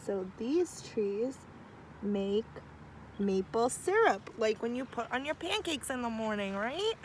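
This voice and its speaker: A woman's voice: fairly high pitch, slight background noise, poor audio. A woman teacher reading a picture book aloud to children, with chatty asides. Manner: slow, warm storytime delivery with lively asides. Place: outdoors near trees.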